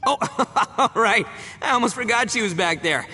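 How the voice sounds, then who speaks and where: An actor voicing a boastful, rowdy male oni gang boss in a video game, brash and quick to laugh. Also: extremely high pitch, animated, exaggerated voice. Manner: brash, loud, laughs a lot. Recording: game audio with long reverb.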